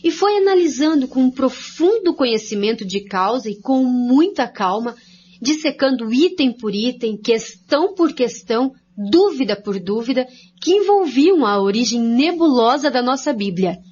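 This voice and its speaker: A woman's voice: high voice, animated, normal speed, a little mellow, slightly rough. An actress playing an earnest woman expositor in a scripted radio drama, laying out points one by one in a didactic way. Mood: explaining, assured. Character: woman researcher Jacira, earnest, didactic study-group expositor. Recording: dull, lo-fi recording.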